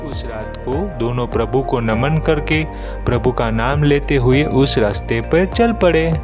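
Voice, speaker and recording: Male narrator for animated children's tales, medium pitch, scripted telling over clear background music. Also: unhurried, muffled recording.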